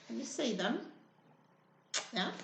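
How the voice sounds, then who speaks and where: Very animated high-voiced woman storyteller. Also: slow, gravelly, background noise. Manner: animated, prompts young listeners with simple questions. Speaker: children's storyteller. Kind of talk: expressive picture-book reading for young children. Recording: roomy, echoing indoor sound.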